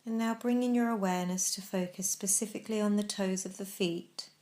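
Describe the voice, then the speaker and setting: Female meditation narrator, mid-range pitch, instructional and measured, leaving deliberate space between phrases. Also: steady tone, a little mellow. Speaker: meditation guide.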